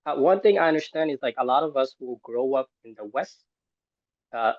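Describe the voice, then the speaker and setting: On a dry, muffled connection, a man shares informal personal remarks in a group discussion. His voice is mid-pitched and his English is non-native, with simplified grammar. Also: expressive, a little fast, no echo. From the East. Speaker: discussion participant.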